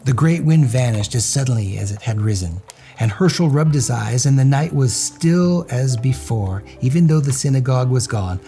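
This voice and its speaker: A man's voice: medium pitch, lively intonation, normal speed, warm tone, faint music behind. A man reading a children's story aloud as host, in a theatrical, suspenseful way.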